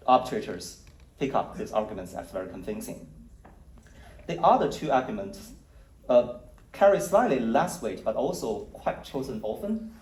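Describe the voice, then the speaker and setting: A man presenting survey results at a conference, speaking in a measured, explanatory way with occasional fillers. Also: medium pitch, darkish tone. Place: conference hall. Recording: roomy hall audio, mic at a distance.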